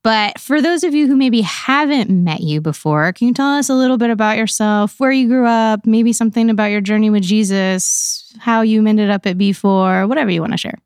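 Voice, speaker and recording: A woman hosts a church podcast in a friendly, upbeat way. Her voice is smooth and clean, recorded dry with no room sound. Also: medium pitch, fairly quick, few pauses, a little mellow, dry recording. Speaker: podcast host. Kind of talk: hosting a church podcast interview.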